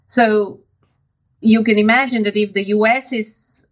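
A woman's voice: mid-range voice, fairly even intonation, unhurried. Woman explaining as an interview guest. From Bulgaria. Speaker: interview guest, expert commentator. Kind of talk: conversational expert explanation.

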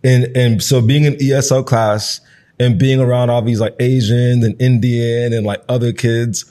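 A man's voice: mid-range voice, little pitch movement, moderate pace, mellow. A Nigerian-born man in his thirties, Igbo his first language, talking in an interview in a loose, reflective way full of "like" and "you know". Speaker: guest. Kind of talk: conversational interview.

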